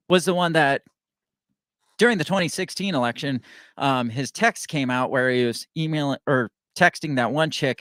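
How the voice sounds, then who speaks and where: A man's voice: mid-range voice, a bit bright, no echo, clean studio sound. A young adult man hosting a political commentary show, talking casually and sarcastically, rambling with frequent restarts as he reads news stories aloud.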